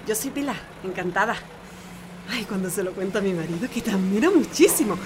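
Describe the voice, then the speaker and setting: A woman's voice: mid-range voice, lively intonation, brisk, noisy background, close to an everyday voice. An actress playing a friendly, talkative, civic-minded married woman with an Andalusian accent in an audio drama, effusive and homely. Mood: admiring, effusive. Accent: Andalusian Spanish. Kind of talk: audio fiction acting.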